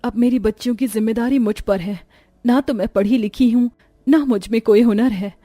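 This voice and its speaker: A woman's voice: a little high, steady tone, normal speed, warm tone, dry recording, clean studio sound, close to an everyday voice. A woman voicing a grieving, desperate poor mother of five, anguished and pleading, in a cartoon story. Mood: desperate worry.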